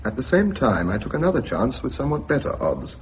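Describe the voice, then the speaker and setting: An actor playing a deferential but quietly cunning bookkeeper in a radio drama, speaking precisely and methodically. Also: male voice, medium pitch, huge pitch swings, quick pace. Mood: calm, explanatory. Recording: muffled radio drama recording, faint hiss.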